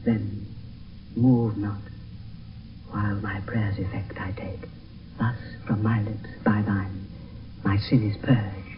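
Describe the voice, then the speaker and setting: Lovestruck young gentleman, muffled. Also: lowish voice, level tone, takes it slowly, long pauses. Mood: tender. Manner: lyrical, poetic. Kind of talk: 1970s radio Shakespeare verse acting. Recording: muffled distant radio broadcast, music behind.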